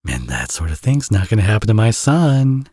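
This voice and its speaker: A man playing a teasing villain who is a tender father, in an intimate ASMR audio roleplay, with a husky, breathy, soft-spoken delivery. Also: lowish voice, big pitch swings, normal speed, dry recording, studio quality. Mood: serious, protective.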